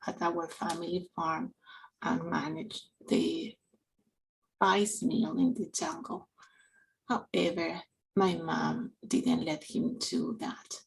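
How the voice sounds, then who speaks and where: A woman's voice: fairly low pitch, gravelly, low-quality recording. A woman in her forties from Peru with a Peruvian Spanish accent, speaking English as a second language while giving an earnest personal reflection for a church novena. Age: 40s. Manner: slow, earnest and halting, with soft whispered stretches. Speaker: parish council member giving a reflection.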